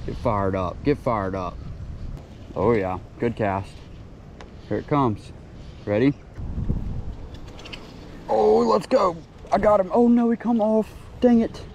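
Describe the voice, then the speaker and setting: A young man filming a solo fishing vlog outdoors at a lake with a chest-mounted action camera, excitably talking to the fish as he reels them in. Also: on the high side, slow, vocal fry, pauses often, a little hiss or hum. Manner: excitable, talks to the fish while reeling. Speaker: fishing vlogger. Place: outdoors by a lakeside.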